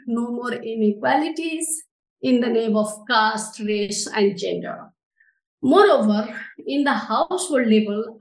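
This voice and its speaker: Nepali accented woman, middle aged, kinda high voice, roomy echo, explaining stuff earnestly in an interview, non-native english. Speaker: interview guest, social enterprise director.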